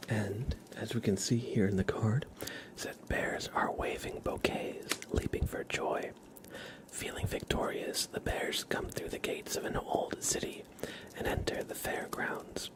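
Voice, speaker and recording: A man hosting an ASMR livestream in a soft whisper, gently addressing listeners directly. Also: lowish voice, steady tone, unhurried, low-quality recording.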